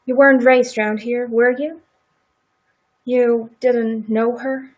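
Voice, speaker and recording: A woman's voice: on the high side, steady tone, takes it slowly. A woman playing a rueful, blunt farmer's wife with folksy rural speech in a live radio play. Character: farmer's wife Mrs. Hale, regretful, blunt, protective neighbour.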